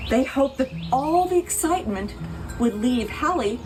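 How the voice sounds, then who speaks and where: High, animated woman reading a storybook. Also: background noise. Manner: expressive, deliberate, with playful asides to listeners. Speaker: picture book reader for a pet rescue show. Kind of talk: animated children's storybook read-aloud.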